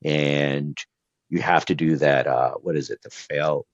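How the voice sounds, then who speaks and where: A man's voice: very deep voice, steady tone, unhurried, dry recording, highs cut off. A man from Brazil whose first language is Portuguese, giving earnest advice as an interview guest with lots of fillers and restarts. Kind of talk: casual interview talk.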